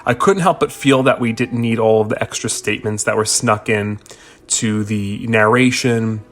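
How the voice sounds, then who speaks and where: A man's voice: lowish voice, moderate pace, a bit bright. A man with an American accent giving a prepared spoken review as a podcast listener, articulate and orderly. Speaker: listener leaving feedback for a podcast.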